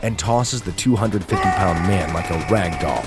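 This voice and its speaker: A man plays a dramatic audio drama storyteller. His voice is on the low side. Loud music runs behind the tense narration. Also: moderate pace, close to an everyday voice. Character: dramatic storyteller of a monster battle.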